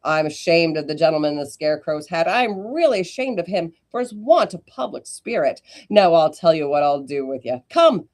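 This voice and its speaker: A woman doing a cheeky old market auctioneer, all loud sales patter. He goads a stingy crowd, his pitch jumping up as he pleads and scolds. A somewhat low, full voice underneath the showmanship. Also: lively intonation, normal speed, hardly any pauses. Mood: hawking. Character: brash old male street auctioneer hawking wares.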